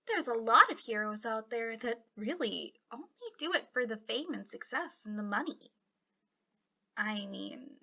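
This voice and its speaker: A woman's voice: a little high, pushed and bright, full character voice. A woman playing a bitter, impassioned female villain guarding a captive in an intimate ASMR roleplay, drifting between dramatic speech and whispers.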